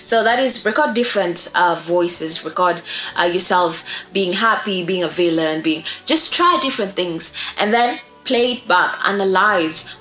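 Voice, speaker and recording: A woman's voice: mid-range voice, fairly bright tone. Young woman doing a chatty how-to, encouraging and emphatic, roomy echo, faint music behind. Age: young adult. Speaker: voice acting instructor. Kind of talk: casual tutorial to camera. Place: small indoor room.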